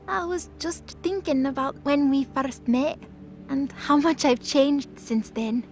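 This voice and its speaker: A woman playing a gentle, earnest young goddess in an audio drama, speaking in halting, simple phrases. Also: sits high, soft background music. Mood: soft, reflective. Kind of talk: acted audio drama dialogue.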